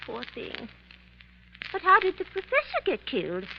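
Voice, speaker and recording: High, swooping elderly spinster. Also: female voice, vocal fry, heavily acted. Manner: theatrical, wondering, questioning. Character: excitable, credulous elderly spinster sister, retired history teacher. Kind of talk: 1950s radio mystery acting. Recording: noisy, muffled 1950s radio recording.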